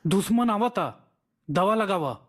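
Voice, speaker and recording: A man voicing a game soldier with a Bhojpuri accent and husky voice, giving short scripted warnings to teammates. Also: sits high, expressive, takes it slowly. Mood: watchful warning.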